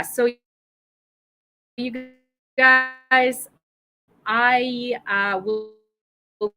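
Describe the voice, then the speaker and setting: Bright-voiced woman hosting a livestream draw. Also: medium pitch, rough recording. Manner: chatty and apologetic, talking straight to viewers. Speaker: livestream host running a prize draw. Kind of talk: casual livestream chat.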